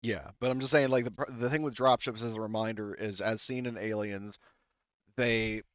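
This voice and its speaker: A man's voice: lowish voice, steady tone, moderate pace, a bit bright, clean tone, no room sound. A man running a tabletop game as game master, explaining rules in a rambling way with many fillers and restarts. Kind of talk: casual tabletop game narration. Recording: dull lo-fi audio.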